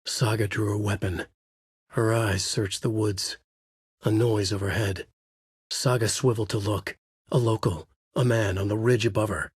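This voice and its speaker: An actor playing a brooding, haunted male novelist who narrates his dark horror thriller in a measured, grave, husky voice for a video game. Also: on the low side, fairly even intonation, no echo, clean studio sound. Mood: ominous. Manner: measured, grave, dramatic delivery. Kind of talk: scripted noir horror narration.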